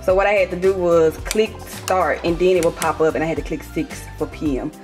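A woman's voice: on the low side, fairly quick. A young American woman chatting casually and directly to her viewers in an informal, slangy way, as a vlogger. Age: young adult. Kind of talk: casual chat to camera. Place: indoors, mostly a home kitchen. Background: music.

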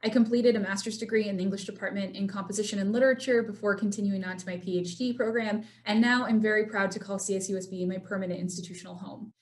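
A woman's voice: medium pitch, steady tone, quick pace, hardly any pauses. Upbeat woman English professor. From Inland Empire, California. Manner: upbeat, enthusiastic. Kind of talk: faculty self-introduction. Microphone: video call webcam.

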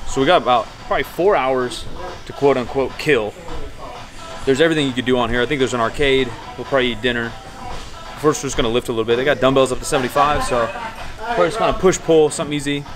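A young man in his twenties casually vlogging to a handheld camera from a small cabin on a cruise ship, relaxed and slangy with lots of fillers. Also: mid-range voice, fast, over music. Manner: laid-back, slangy, many fillers. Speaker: fitness vlogger. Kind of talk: casual vlog talk to camera. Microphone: handheld camera mic at arm's length.

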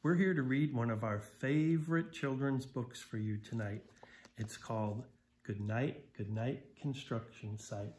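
Middle-aged man, American accent, medium pitch, children's story read-aloud, expressive, simple friendly explanations for little ones. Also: unhurried. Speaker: volunteer story reader. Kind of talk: children's picture book read-aloud with asides. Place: small living room, indoors on a sofa.

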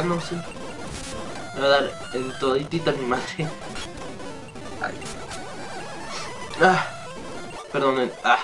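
Man, Mexican accent, mid-pitched voice, a bit sick, rambling, hesitant, casual let's play commentary, mild swearing, loud game music behind. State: slightly sick. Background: video game music.

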